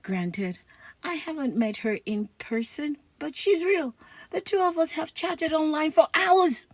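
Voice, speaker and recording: A woman's voice: sits high, measured pace, no room sound, voice pushed up. A woman voicing a boastful, geeky, defensive uncle from a comic strip in a children's read-aloud.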